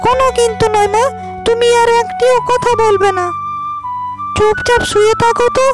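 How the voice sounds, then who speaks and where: Woman voicing a very high-pitched, dutiful village girl. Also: fairly even intonation, normal speed, music in the background. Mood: caring, firm. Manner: earnest, caring, polite. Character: dutiful, gentle poor village daughter, young, hardworking. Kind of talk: animated moral-tale cartoon dub.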